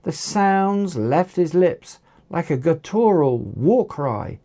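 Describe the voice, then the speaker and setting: This is a storyteller reading an action tale in a dramatic, animated way. The pitch moves around a lot while the pace stays slow and measured. The tone is mellow, with a gravelly creak in it. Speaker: author narrating own book. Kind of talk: audiobook narration of children's action story.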